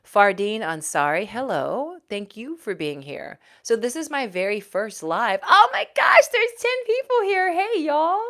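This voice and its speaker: A woman's voice: on the high side, sing-song, measured pace, few pauses, fairly bright tone. A woman in her forties from Texas, a former news anchor, chatting casually and reading out viewer comments on her livestream. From Houston, Texas. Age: 40s. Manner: chatty, reads out viewer comments, laughs often. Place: home.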